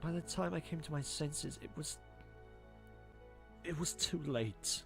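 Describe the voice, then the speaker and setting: An actor voices a guilt-ridden young male cartoon character at a medium pitch. The words come haltingly, stammered and anguished, over soft music. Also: gravelly. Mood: anguished, guilty. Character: remorseful, broken young male, Moonstone. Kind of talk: animated fan audio drama acting.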